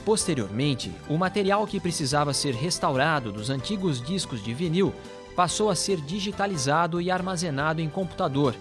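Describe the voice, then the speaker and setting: Higher-voiced Brazilian man narrating over music. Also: animated, moderate pace. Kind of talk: scripted documentary narration. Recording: music playing behind the voice. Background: background music.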